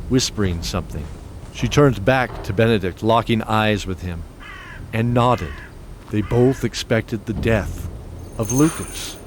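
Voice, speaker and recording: A man giving scripted, measured narration of a fantasy audio drama. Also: fairly low pitch, slight background noise. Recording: with loud music behind.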